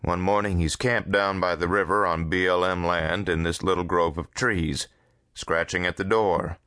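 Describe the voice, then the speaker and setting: Man reading as a dry, low-voiced persistent lawman. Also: steady tone, moderate pace, a bit bright, no echo. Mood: dry storytelling. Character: dogged, wry lawman coaxing an old friend.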